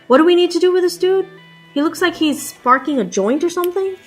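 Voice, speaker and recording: A woman's voice: extremely high pitch, expressive, fairly quick, faint music behind. A woman playing a wry, reluctant reporter in a comedy sketch.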